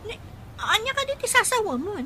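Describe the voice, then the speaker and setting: A woman's voice: very high-pitched, sing-song, brisk, background noise. A Filipino woman playing a peevish, evasive young daughter in a radio drama. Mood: flustered, evasive. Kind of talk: Ilocano radio drama acting.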